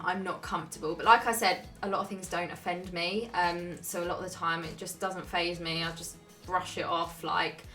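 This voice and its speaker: A woman's voice: lowish voice, sharp tone. A young woman answering viewer questions in a casual, chatty way, with frequent "um"s and a laid-back delivery. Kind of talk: casual Q&A chat.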